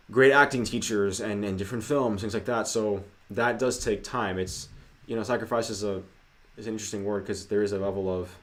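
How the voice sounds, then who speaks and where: A man co-hosting a casual livestream, talking through viewer questions in a loose, conversational way. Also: lowish voice. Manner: conversational, many fillers, hedging asides. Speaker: co-host.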